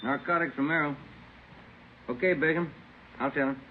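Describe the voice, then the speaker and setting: An actor playing a friendly, brisk police detective partner with a casual, clipped manner in a 1950s radio drama. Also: male voice, fairly high pitch, close to an everyday voice. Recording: noisy, muffled old radio recording.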